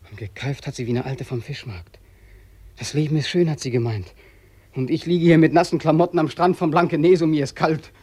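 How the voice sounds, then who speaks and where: A man's voice: on the high side, a little fast, darkish tone, slightly rough. A man acting in a radio play as a freezing, limping war returnee, weary, bitter and hopelessly sad in tone. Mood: bitter, lamenting. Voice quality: sad, desolate voice. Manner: weary, lamenting, emphatic.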